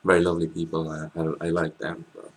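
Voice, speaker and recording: A man in his twenties with a French accent, chatting casually as a guest, hesitant with many pauses and restarts, recorded on a laptop webcam in a small room. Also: extremely low pitch, monotone, husky. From France. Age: 20s. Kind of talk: casual interview chat.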